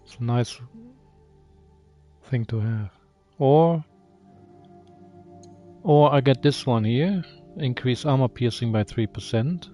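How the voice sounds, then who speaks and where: A man's voice: mid-range voice, slow pace, long pauses, dark tone. Man musing aloud over game music. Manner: musing, asks himself questions. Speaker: video game player and channel host. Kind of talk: casual gameplay commentary, thinking aloud and reading menu text.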